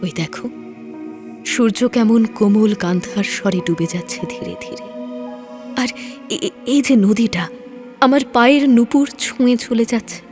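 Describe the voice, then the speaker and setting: A woman playing a poet's teasing sweetheart in an audio drama, gently chiding and playful. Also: a little high, moderate pace, long pauses, natural voice. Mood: reflective, gently chiding. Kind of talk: Bengali audio drama dialogue. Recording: music under the voice. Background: background music.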